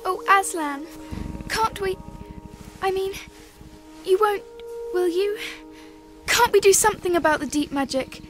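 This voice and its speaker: An actress playing an earnest, gentle, anxious young girl in a radio drama. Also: extremely high pitch, unhurried, music in the background. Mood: pleading. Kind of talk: radio theatre acting.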